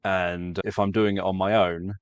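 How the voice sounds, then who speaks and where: A man's voice: low-pitched, flat delivery, moderate pace, smooth, no echo, highs cut off. A man who makes sleep stories, talking casually to viewers and rambling through his explanations. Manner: rambling, self-correcting explanation. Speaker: sleep story creator.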